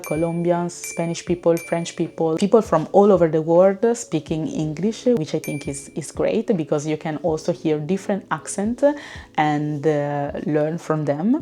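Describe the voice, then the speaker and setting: Young woman vlogging, low mellow voice, chatty and easygoing with lots of uhs. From Italy. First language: Italian. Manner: relaxed and chatty, with frequent fillers. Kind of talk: casual talk to camera.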